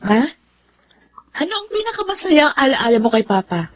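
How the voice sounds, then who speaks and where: A Filipino woman playing a gentle, devoted teenage daughter in a radio drama. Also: sits high, sing-song, normal speed. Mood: curious, fond.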